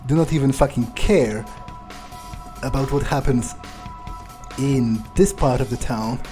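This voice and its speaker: Man roleplaying a slow-talking, scornful vampire over game music. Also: mid-range voice, mellow, close to an everyday voice. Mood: callous, musing. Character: cocky, callous male vampire roleplayed by a gamer. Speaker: gamer roleplaying in a playthrough. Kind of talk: improvised in-character game commentary.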